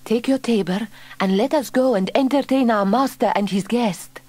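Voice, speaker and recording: A woman's voice: a little high, normal speed, mellow, dry recording, natural voice. An actress playing a shrewd, brave and loyal servant girl in a children's audio story, speaking intently and dramatically. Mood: resolute. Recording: vintage cassette tape.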